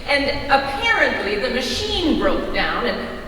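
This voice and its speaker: A high, bright-voiced woman tells folksy stories as part of a staged reading. She is heard from far off in a very echoey hall, in a conversational storyteller's manner. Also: sing-song, unhurried, slight background noise. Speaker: readers theater performer.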